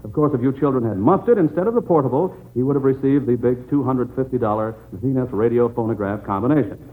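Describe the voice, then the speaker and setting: A man hosts an old-time 1940s radio quiz show with a medium-pitched voice. He is jovial and jokey, talking to children as their stand-in quizmaster in a live broadcast style. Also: fast, phone-quality sound. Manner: jovial, joking, addresses children. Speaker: substitute quiz show host.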